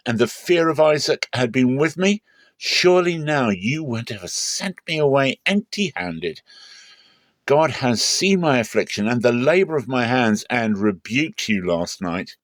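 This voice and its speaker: Man playing wronged herdsman, indignant, raised high voice, protesting, declamatory, dramatic scripture reading. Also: animated, unhurried, dry recording, close to an everyday voice. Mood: indignant, aggrieved. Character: aggrieved, hard-working herdsman, indignant son-in-law.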